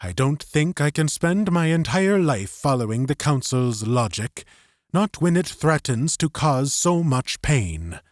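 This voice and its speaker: A man voicing an earnest, determined idealist in an audiobook, speaking haltingly and with conviction. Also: a little high, very animated, dry recording, clean studio sound, voice pushed up. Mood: quietly doubtful. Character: earnest, resolute young idealist defying his superiors. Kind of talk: audiobook character acting.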